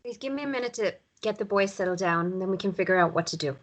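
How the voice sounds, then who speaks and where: A woman's voice: mid-range voice, expressive, fast, natural voice. A woman playing a harried, irritable but reluctantly hospitable countryside mother in a drama table read. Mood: guarded, softening.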